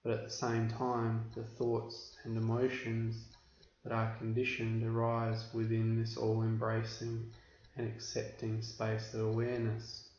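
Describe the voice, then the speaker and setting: Flat-toned man guiding meditation, roomy recording. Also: lowish voice. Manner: measured, instructional, with deliberate pauses. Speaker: meditation teacher. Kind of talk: guided meditation instruction. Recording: roomy, dull recording with background hiss.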